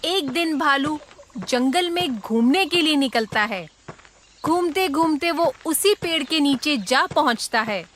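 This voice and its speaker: A woman's voice: sits high, animated, unhurried, fairly bright tone, a little hiss or hum. A woman giving scripted narration of a children's story.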